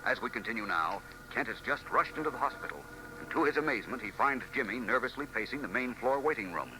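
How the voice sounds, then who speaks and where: A man's voice: medium pitch, expressive, fast, pushed and bright, rough recording. Grave male radio narrator. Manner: grave, emphatic. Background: music.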